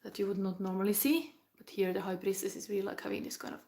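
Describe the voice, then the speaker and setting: Lady in her 40s doing a tarot reading, slow and musing, drops into whispers. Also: mid-range voice, flat delivery, creaky, slight background noise. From Finland. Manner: musing, halting, with soft whispered asides. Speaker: tarot reader.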